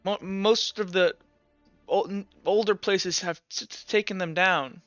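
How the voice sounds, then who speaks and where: A jittery townsman trips over the start of nearly every word, his voice pushed up well above its usual pitch. A man acts him out over a dull, dry, lo-fi line. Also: fairly even intonation, measured pace, fairly bright tone, dry recording, soft background music. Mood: nervous. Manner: stutters on first sounds. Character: nervous stammering male townsman.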